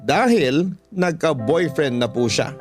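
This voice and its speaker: A man's voice: on the high side, animated, slow. A man with a Filipino accent narrating a first-person story as a radio drama host. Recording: music bed behind the voice.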